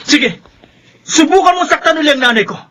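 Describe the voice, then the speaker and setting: A man's voice: very high-pitched, expressive, brisk, pushed and bright, a little hiss or hum, full character voice. A man playing a protective, resentful schoolboy son in a radio drama, earnest and confrontational. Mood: angry, protective.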